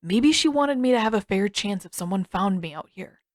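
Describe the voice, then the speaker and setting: A woman's voice: medium pitch, expressive, moderate pace, no echo, studio quality, natural voice. A woman voicing a tired, sullen teenage prince in an audiobook story, terse, defensive and sarcastic. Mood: weary, sullen.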